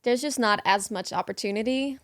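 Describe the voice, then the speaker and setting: A young woman from the Netherlands chatting as a guest in an interview, relaxed and talkative with frequent ums. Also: a little high, brisk, no echo, clean studio sound. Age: young adult. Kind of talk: casual interview chat.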